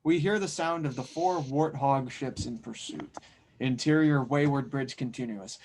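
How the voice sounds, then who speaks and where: Mid-pitched man narrating a script. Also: normal speed, a little mellow, a little hiss or hum, low-quality recording. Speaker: narrator reading stage directions.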